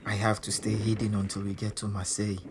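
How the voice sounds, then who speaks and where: A man's voice: on the low side, monotone, fast, natural voice. An actor playing a frightened male stowaway, narrating his ordeal in short, simple present-tense sentences for an audio drama. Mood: anxious, hushed hope. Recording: noisy ambience behind the voice.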